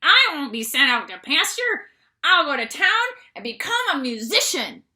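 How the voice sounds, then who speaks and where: A woman's voice: high voice, sing-song, moderate pace, bright, forceful tone, heavily acted. A woman voicing an old male donkey in a children's storybook, determined. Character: old male donkey, hurt but hopeful.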